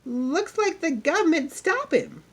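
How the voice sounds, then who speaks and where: A woman voicing a puzzled, indignant country child in an audiobook, questioning loudly in a folksy way. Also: sing-song, unhurried, exaggerated voice. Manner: loud, high, folksy questioning.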